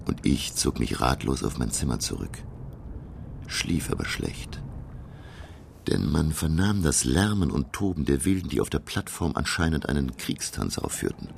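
An actor playing a professor who recounts his sea adventure in literary, measured radio drama narration. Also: rumbling low, big pitch swings, vocal fry, a little hiss or hum, heavily acted. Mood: uneasy, dramatic. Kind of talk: scripted audio drama narration in past tense. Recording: loud music behind the voice.

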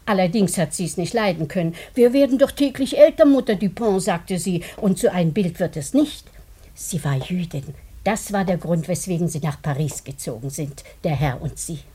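An actress playing a talkative, devoted and sentimental housekeeper in a German radio drama, chatty and emotive. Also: female voice, lowish voice, expressive, moderate pace, mellow, husky.

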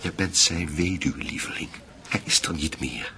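A man playing a middle-aged, passionate and frustrated lover in a radio drama, speaking in an earnest, halting, intimate way. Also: low voice, pushed and bright. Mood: hurt, insistent. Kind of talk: naturalistic radio drama acting.

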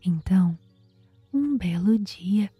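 Breathy, whispering Brazilian woman, very slow. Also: medium pitch, lively intonation, dark tone, dry recording. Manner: slow, soothing, with long pauses. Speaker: guided meditation host and storyteller. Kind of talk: whispered ASMR bedtime storytelling. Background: background music.

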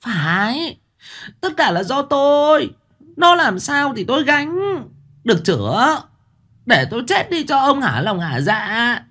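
A man voicing a sharp-tongued, sulky older village wife who whines, scolds and wails. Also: extremely high pitch, very animated, a little slow, creaky, pitched above the performer's own voice, full character voice. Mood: wailing. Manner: whining, scolding, wailing.

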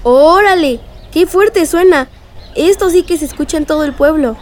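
Una actriz de radionovela que interpreta a una sobrina joven, curiosa y entusiasta, llena de preguntas y exclamaciones. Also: female voice, high-pitched, normal speed, a little hiss or hum, natural voice. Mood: admiring, excited. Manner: eager, inquisitive, exclamatory. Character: curious young niece, eager and admiring. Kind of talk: radio drama acting.

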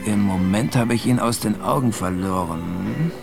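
An actor playing a wary city cab driver in a German radio drama. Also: male voice, on the low side, unhurried, gravelly, over loud music. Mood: watchful reporting.

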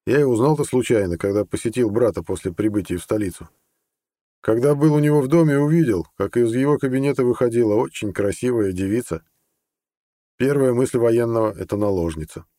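Man reading as a blunt army colonel, mellow-voiced. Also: mid-range voice, brisk, no echo, voice pushed up, close to an everyday voice. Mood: apologetic. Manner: plain-spoken, earnest. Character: blunt, ambitious army colonel, proud plain-spoken soldier. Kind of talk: audiobook character dialogue.

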